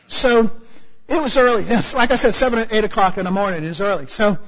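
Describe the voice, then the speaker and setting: A male preacher with an American accent spins a funny personal story from the pulpit. His voice sits high and a little husky. It rings out through a large hall with a long reverb tail. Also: a little fast. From United States. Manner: humorous, animated storytelling with asides. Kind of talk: storytelling sermon.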